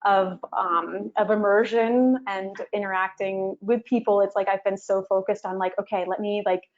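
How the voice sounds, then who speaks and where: A young woman speaking earnestly and hesitantly as a guest, often pausing on fillers. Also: mid-range voice, fairly even intonation. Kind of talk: casual conversation. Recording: slightly muffled audio.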